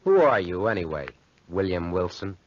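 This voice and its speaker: A man's voice: low-pitched, very animated, moderate pace. An actor playing a defiant, frightened young man, curt and agitated, in 1940s radio drama style. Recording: dull 1940s radio recording.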